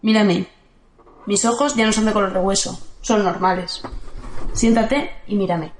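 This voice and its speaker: A woman from playing a calm, ageless guardian who speaks in a steady, firm way in an audio drama. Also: medium pitch, measured pace, a little hiss or hum, close to an everyday voice. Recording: roomy echo.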